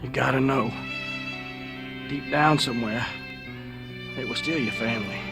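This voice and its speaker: An actor playing a fierce, protective older brother, a rugged fighter, in a video game drama. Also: male voice, mid-range voice, unhurried, creaky. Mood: gentle, reassuring. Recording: loud music under the voice.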